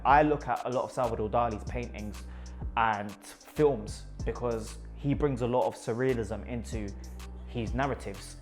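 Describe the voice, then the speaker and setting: A man from Iraq with a London accent, a photographer talking enthusiastically about his pictures and often repeating words for emphasis. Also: mid-range voice.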